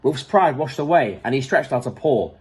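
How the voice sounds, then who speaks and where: A man reading a children's picture book aloud in an expressive, dramatic way. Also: mid-range voice, moderate pace, slightly rough. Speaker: storybook reader.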